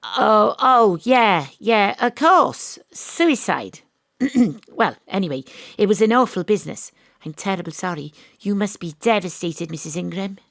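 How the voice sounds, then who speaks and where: Female private eye, Welsh accent, deadpan noir parody, sceptical, very dry close sound. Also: medium pitch, a little slow, creaky, no room sound. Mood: probing, incredulous. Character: wry female Welsh private detective, ex-rugby player. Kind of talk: noir-parody audio drama narration and dialogue.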